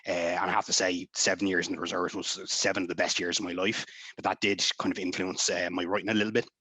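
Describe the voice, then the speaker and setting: A man's voice: low voice, little pitch movement, quick pace, sharp tone, slightly rough, no echo. An Irish man speaking on a convention panel, earnest and conversational, thinking aloud as he explains. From Ireland. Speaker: panelist, author.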